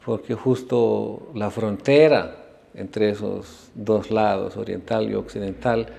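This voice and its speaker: Male spiritual teacher from Bosnia, unhurried lecturer with a gravelly, creaky voice. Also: lowish voice, fairly even intonation, warm tone. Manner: unhurried, frequent tag questions. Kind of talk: lecture on religious history. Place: ashram class.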